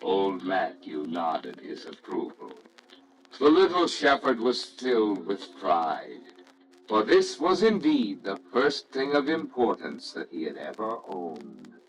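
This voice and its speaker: A man narrating a scripted story in a classic radio reading style, expressive, measured and reverent. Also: on the low side, a little hiss or hum, rough recording.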